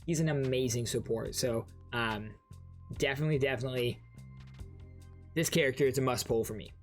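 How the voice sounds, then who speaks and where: A man's voice: mid-range voice, brisk, faint music behind. A young man giving informal, enthusiastic commentary as the host of a gaming reaction video.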